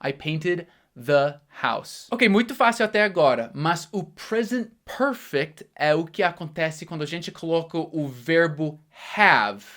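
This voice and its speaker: A man's voice: fairly high pitch, animated, a bit bright. Man teaching English grammar. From United States. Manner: explains patiently, slows down for example sentences. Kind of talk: bilingual grammar lesson.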